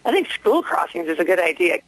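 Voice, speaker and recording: A woman's voice: on the low side, big pitch swings, a little fast, dry recording. Earnest woman calling in by phone. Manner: earnest, hesitant, insistent. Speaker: radio call-in listener. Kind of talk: earnest spontaneous phone complaint. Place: phone call into a radio station. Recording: dry telephone line to radio studio.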